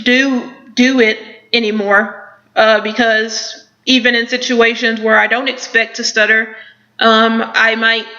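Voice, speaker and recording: Young woman, stutters with repetitions and blocks, thoughtful, gravelly fry, dull lo-fi sound. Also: on the high side, bright, forceful tone. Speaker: person who stutters answering questions. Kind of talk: self-interview, reading questions and answering.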